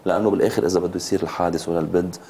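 Very fast, low-voiced Lebanese man. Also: flat delivery, mellow, slight background noise. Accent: Lebanese Arabic. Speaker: road safety advocate, TV guest. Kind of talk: studio interview. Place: TV studio. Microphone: lapel mic.

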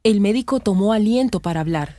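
A woman's voice: mid-range voice, expressive, a little fast, dark tone, faint music behind. A woman giving scripted narration for a radio drama.

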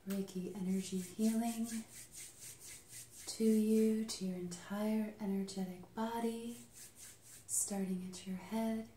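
A young adult woman leading an ASMR energy healing session, soft-spoken and soothing, sometimes dropping into a whisper. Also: medium pitch. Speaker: Reiki healer and channel host. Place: small dim indoor treatment room. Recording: roomy, slightly noisy home recording.

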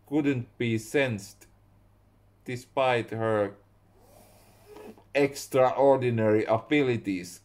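A young man with a Finnish accent, a native Finnish speaker, slowly reading his own story aloud at home and stopping to correct his words. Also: medium pitch, a little mellow. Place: small room indoors at home.

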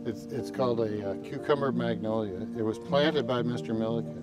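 A man's voice: medium pitch, a little fast. Informal male guide, music behind. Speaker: host and guide at a historic house. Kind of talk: casual informative chat. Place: outdoors on a lawn. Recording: background music over voice.

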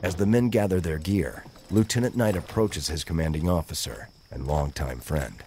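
A man's voice: sits low, normal speed, mellow, a little hiss or hum. A man narrating a military thriller audio drama in a grave, scripted storytelling style.

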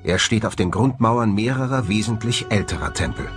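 A man delivering scripted documentary narration in a measured, dramatic way, as the presenter of the film. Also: lowish voice, animated, soft background music.

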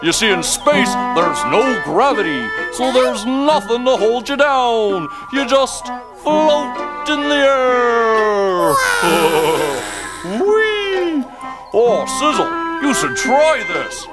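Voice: mid-range voice, very animated, extremely slow, loud music behind the voice. A goofy floating space dog. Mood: giddy. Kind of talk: children's TV puppet acting.

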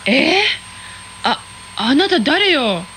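A sassy teenage schoolgirl with a high, chatty voice and a quick, cheeky way of talking, as a woman acts her out. Faint background noise sits under the lines of this radio play. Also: very animated, bright, forceful tone, heavily acted, rough recording. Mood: curious. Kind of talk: radio drama acting.

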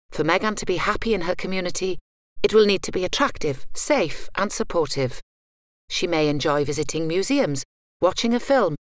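Female narrator, lowish voice, measured delivery, dry close studio sound. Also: no echo. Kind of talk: scripted public information narration.

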